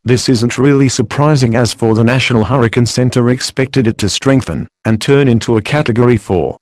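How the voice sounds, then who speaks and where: A man's voice: medium pitch, a little fast, darkish tone, no echo, clean studio sound, close to an everyday voice. A man playing a composed television news anchor covering a storm, formal and matter-of-fact in a scripted broadcast. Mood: serious, composed.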